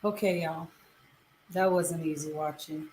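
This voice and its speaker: Woman, middle-aged, flat and unhurried, casual storytelling, roomy echo. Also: sits low. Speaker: storytime vlogger. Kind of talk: personal storytelling to camera, casual chat. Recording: roomy echo with background noise.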